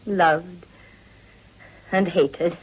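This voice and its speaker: An actress playing a worldly, discreet middle-aged brothel madam in a period radio drama, with a suave, unhurried, courteous manner. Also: female voice, fairly low pitch, steady tone, slightly rough, exaggerated voice, poor audio. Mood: world-weary.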